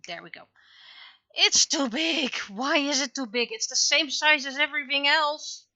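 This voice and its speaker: A woman livestreaming casually while she works, muttering to herself and questioning things aloud in an expressive way. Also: sits high, moderate pace, dry recording, lo-fi sound. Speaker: livestreamer, webcomic and visual novel creator.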